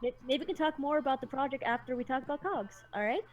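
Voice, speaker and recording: A young woman chatting on a podcast, quick and blunt, swearing casually. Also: high voice, a crowd in the background. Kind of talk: casual chat.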